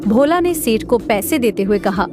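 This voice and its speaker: A woman narrating an animated moral story in a steady, measured way. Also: on the high side. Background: background music.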